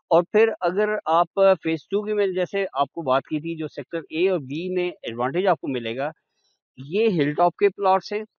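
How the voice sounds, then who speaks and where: Higher-pitched Pakistani man presenting a property. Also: no room sound, highs cut off. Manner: explanatory, repeatedly pointing things out. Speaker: real estate agent presenting a site visit. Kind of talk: informal sales walk-through. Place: outdoors on a forested hillside road.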